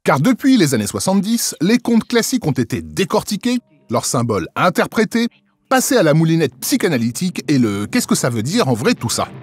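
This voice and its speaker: High-pitched man narrating with lively swings. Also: fairly quick, slightly rough. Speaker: narrator of culture magazine. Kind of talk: scripted voice-over narration.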